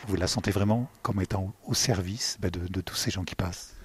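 Low-voiced male reporter asking questions. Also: fairly quick, husky, no echo. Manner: friendly, rambling questions. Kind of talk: interview questions.

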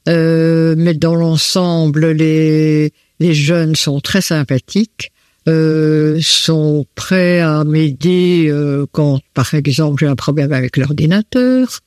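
A woman's voice: low voice, a little mellow, dry recording, clean studio sound. A woman answers interview questions at home in her living room, hesitant and full of fillers. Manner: slow and hesitant, many fillers. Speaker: host of students at home.

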